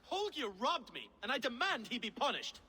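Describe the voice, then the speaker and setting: Brief: an indignant Saxon villager, high bright voice, emphatic game acting. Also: male voice, very animated, moderate pace, slightly rough, exaggerated voice. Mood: angry. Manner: fussy, emphatic, wounded dignity. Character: aggrieved, indignant male Saxon stable owner. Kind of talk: dramatic video game acting.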